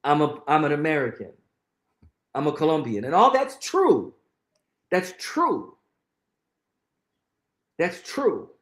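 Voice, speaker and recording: A man's voice: on the high side, very animated, slow, long pauses, a bit bright, reverberant. A middle-aged man, a guest on an interview show, speaking earnestly and emphatically, often repeating phrases for weight. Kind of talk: conversational interview, reflective storytelling.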